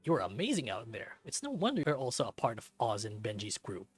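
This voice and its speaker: A man's voice: mid-range voice, huge pitch swings, brisk, breathy edge, faint music behind. A man voicing a friendly but easily flustered young bull bartender in a visual novel, talking in casual slang. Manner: casual, slangy, stammers when nervous. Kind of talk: visual novel character reading.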